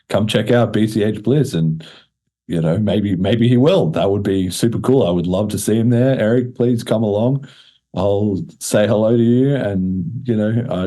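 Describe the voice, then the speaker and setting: Male podcast host, low pitch, relaxed dark tone, informal and slangy. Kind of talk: casual podcast chat.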